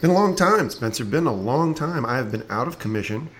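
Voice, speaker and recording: A man hosting a podcast in casual chat, playful and animated, with a slight rasp in his voice. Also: mid-range voice, fairly quick, a little hiss or hum. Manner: playful, animated, laughs often. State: slightly raspy from lost voice. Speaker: podcast host.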